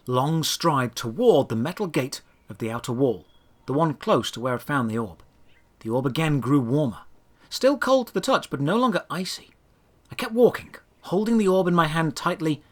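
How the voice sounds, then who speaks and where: A man narrating a first-person fantasy adventure story in a scripted, dramatic storytelling manner, with deliberate phrasing. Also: on the high side, a bit bright.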